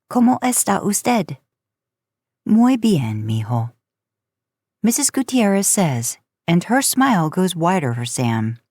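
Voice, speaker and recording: Female story narrator, lower register, casual expressive storytelling, close dry sound. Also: dry recording. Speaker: podcast host narrating fan fiction. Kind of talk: story narration, reading aloud.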